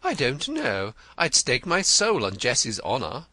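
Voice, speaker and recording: A vain, effusive poet in a storybook reading, as a male narrator puts him on, gushing in a high voice that leaps up and down. He is florid and stammers when pressed, with a bright tone. The sound is dry and close. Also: wildly swooping pitch, unhurried, no room sound, exaggerated voice. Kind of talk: audiobook character reading.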